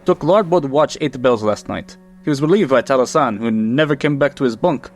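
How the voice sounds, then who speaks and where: An actor playing a frightened, urgent ship's mate in an old-time radio play. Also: male voice, mid-range voice, a little fast, natural voice. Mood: alarmed. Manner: urgent, excitable.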